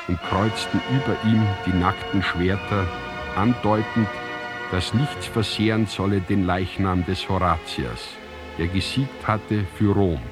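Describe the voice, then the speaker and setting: Male actor as solemn narrator of ancient tragedy, lowish voice, drawn-out measured recitation, radio drama, clear music underneath. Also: natural voice. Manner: measured, grave, declamatory.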